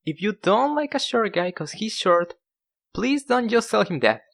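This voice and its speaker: A man's voice: high voice, very animated, a little slow, gravelly, a bit bright. A young man narrating in a casual, self-deprecating, conversational way, like a scripted storytime video.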